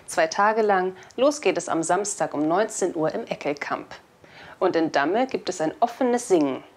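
A woman's voice: fairly low pitch, lively intonation, moderate pace. A young woman reading scripted news as a video presenter, on a lapel mic. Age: young adult.

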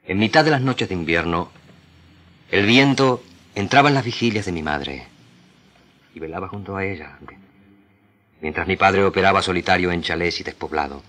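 A man with a very low, forceful voice recites literary prose like a trained radio actor. His delivery is solemn and measured, broken by deliberate pauses. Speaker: radio drama actor reading literary excerpts.

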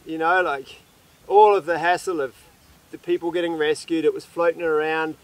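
A young man hosting a casual sailing interview outdoors by the sea, relaxed and chatty, asking questions. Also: fairly high pitch, normal speed, poor audio. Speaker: sailing vlog host.

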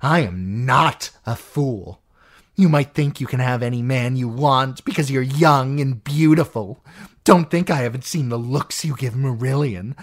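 An audiobook narrator voicing a jealous, unhinged middle-aged noblewoman who accuses in a shrill, spiteful voice that rises into screeching. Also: sing-song, slow pace, hardly any pauses. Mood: furious. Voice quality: shrill, piercing. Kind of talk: audiobook character voice.

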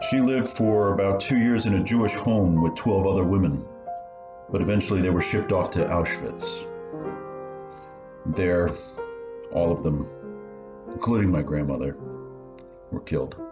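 A man acting an elderly refugee recalling his mother in a measured, reflective way for a radio play. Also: sits low, dull audio, over music. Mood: somber.

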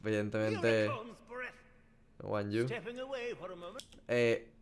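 A man's voice: on the low side, flat delivery, drawn-out words, crowd noise. A man, giving casual, profanity-laced reaction commentary as a gaming streamer. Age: 20s. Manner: slangy, profane. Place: small room at home.

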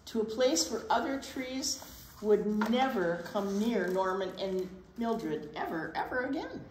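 A woman in her sixties reading a picture book aloud to children, with dramatic pauses and emphasis. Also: mid-range voice, lively intonation. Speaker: children's storytime reader. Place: indoor children's library room. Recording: roomy space with faint background noise.

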